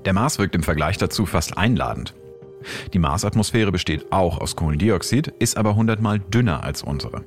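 A male science host with a very low voice. He reads explanatory podcast narration from a script. Soft music plays underneath. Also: animated, brisk.

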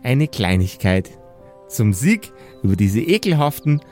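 A man's voice: mid-range voice, very animated, measured pace, a little mellow, pitched below the performer's own voice. A man voicing a doting but fierce dwarf mother in an audio play, folksy and determined. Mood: grimly determined. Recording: music behind the voice.

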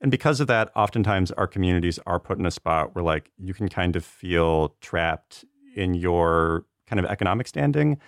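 A man's voice: deep voice, expressive, normal speed, no room sound, studio quality. An American man in casual conversation, speaking earnestly in a rambling way peppered with "like". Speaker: podcast panelist, TV writer. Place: remote video chat recording.

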